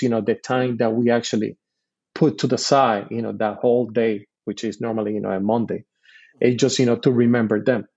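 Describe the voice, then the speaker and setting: A man's voice: mid-range voice, darkish tone, husky, dull audio. A man in his forties from Puerto Rico, a podcast guest and Army veteran who explains things earnestly and patiently in casual talk. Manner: earnest, explains patiently with many "you know" fillers.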